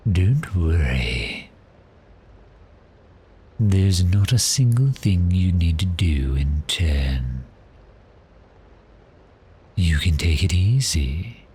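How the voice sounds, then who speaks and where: A man performs a predatory vampire with an exceptionally low, breathy voice that often sinks into a half-whisper. He speaks extremely slowly, lingering on pauses, toying with his prey with gentle menace. It is an intimate ASMR roleplay, with a little noise behind the voice. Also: animated, full character voice. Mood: soothing, possessive.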